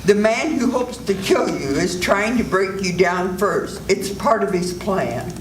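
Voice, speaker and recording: An older woman playing a commanding male doctor in a radio play reading, with a measured, stern delivery. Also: medium pitch, lively intonation, close to an everyday voice. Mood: urgent. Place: senior center event room, live reading. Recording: echoey room with audible noise.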